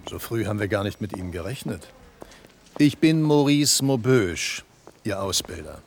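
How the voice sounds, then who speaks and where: An actor playing a formal, businesslike trainer at an agency in a radio drama, speaking in a measured way. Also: male voice, fairly low pitch, big pitch swings, breathy edge, heavily acted.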